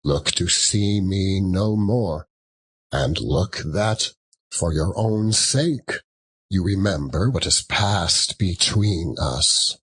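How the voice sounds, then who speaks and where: A man voicing a mournful old male ghost in a storybook reading, wailing solemn, drawn-out warnings. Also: deep voice, lively intonation, fairly bright tone, slightly rough, exaggerated voice. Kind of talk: dramatic audiobook reading.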